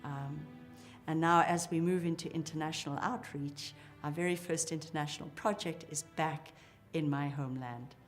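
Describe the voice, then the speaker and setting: A middle-aged woman talks in a notably low voice. She sounds articulate and enthusiastic as a psychologist describing her work. Faint music runs behind her. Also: steady tone, moderate pace. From Zimbabwe. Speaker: psychologist, clinic co-founder. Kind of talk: interview account.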